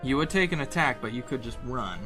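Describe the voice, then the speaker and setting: A man acting as game master, narrating a tabletop game casually and informally, often pausing to think aloud. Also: medium pitch, animated. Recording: music behind the voice. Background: game soundtrack music.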